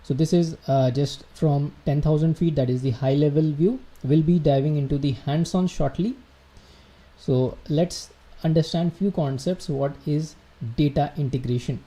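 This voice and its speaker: A man teaching a live online class, working through technical ideas at an unhurried pace with plenty of fillers and self-corrections. His voice is deeply mellow and dark in tone. He pauses to ask viewers whether they can hear him. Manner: explains slowly with many fillers and restarts, checks in with viewers. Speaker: livestream instructor. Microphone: computer mic, quiet at first then turned up.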